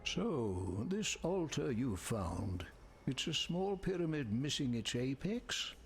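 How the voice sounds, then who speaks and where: An actor playing a grave, scholarly lore-keeper in a dark fantasy game, with a husky voice and dramatic, formal delivery. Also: male voice, mid-range voice, big pitch swings, slight background noise, exaggerated voice. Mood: pondering. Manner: measured, dramatic, formal phrasing. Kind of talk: scripted game dialogue, storytelling exposition.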